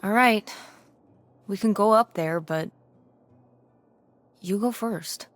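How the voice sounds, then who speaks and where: A woman's voice: mid-range voice, takes it slowly, lightly acted. A woman voicing a curious, slightly sarcastic teenage daughter in a naturalistic video game.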